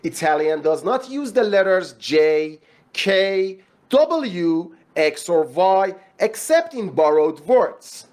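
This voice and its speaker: A narrator reading short formal passages aloud for an English exam lesson, with measured, careful enunciation. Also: medium pitch, sing-song, darkish tone. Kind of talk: scripted read-aloud of exam practice passages.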